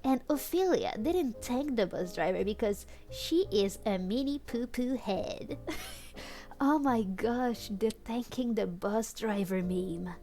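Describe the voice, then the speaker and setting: A woman streamer reads fan fiction in a slightly high voice at an unhurried pace. She is giggly and casual, dropping amused comments between lines, with music playing behind her. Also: animated, dark tone. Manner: giggly, easily cracked up, acts out dialogue. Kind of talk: casual comedic read-aloud with amused commentary.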